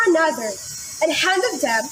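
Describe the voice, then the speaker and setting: A woman's voice: high-pitched, lively intonation, unhurried, a bit bright, rough recording. A woman narrating a scripted children's story in a readers theater style. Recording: amateur recording with clear noise.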